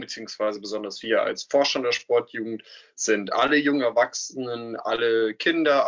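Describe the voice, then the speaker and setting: A man's voice: mid-range voice, a bit bright. Young man explaining, many ums. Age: young adult. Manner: fluent, many fillers. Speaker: youth sports board chair. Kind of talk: explaining on a video call. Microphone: video call from home. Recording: dull lo-fi video call audio.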